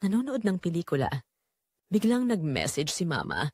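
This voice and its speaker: A woman's voice: fairly low pitch, wildly swooping pitch, normal speed, a little mellow, slightly rough, no room sound, clean studio sound, heavily acted. A woman playing a betrayed married daughter, narrating her painful family secret in an emotive, confessional first-person style for an audio drama. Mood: uneasy, suspicious.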